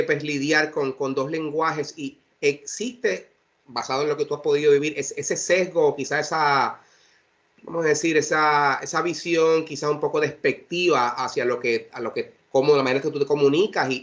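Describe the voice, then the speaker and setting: A man's voice: fairly high pitch, fairly bright tone. A man hosting a podcast, asking long, hedging questions with many restarts. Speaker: host. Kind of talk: podcast interviewing.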